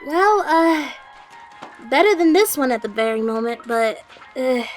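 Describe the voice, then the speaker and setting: A woman playing a plucky, chatty young cat woman in an audio drama, squeaky and eager, rambling a little. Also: animated, unhurried, soft background music.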